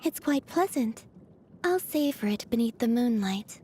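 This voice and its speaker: A woman voicing a serene, otherworldly young moon maiden from a video game, soft and breathy, with a gentle, naive curiosity. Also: a little high, lively intonation, a little hiss or hum. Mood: softly pleased. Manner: slow, dreamy, innocently curious. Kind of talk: video game character voice lines.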